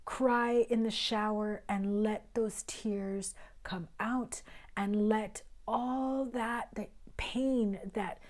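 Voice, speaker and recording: A woman with a hoarse, raspy voice gives a tarot reading, taking her time over every phrase. She talks earnestly to her viewers, leans into emphasis, now and then sinks to a whisper, and isn't shy about cussing. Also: medium pitch, fairly even intonation, very slow. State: hoarse, recovering voice. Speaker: tarot reader.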